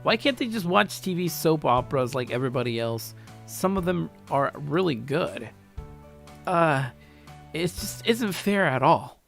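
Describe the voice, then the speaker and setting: Expressive man narrating, highish creaky voice. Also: a little slow. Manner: expressive, stumbling over words. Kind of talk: first-person story narration, read aloud. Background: faint music.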